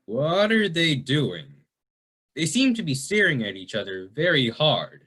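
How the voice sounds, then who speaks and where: A man's voice: on the high side, big pitch swings, a little slow, pushed and bright, breathy edge, full character voice. A man playing an earnest, dim, melodramatic space adventurer in a campy sci-fi radio serial. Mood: puzzled.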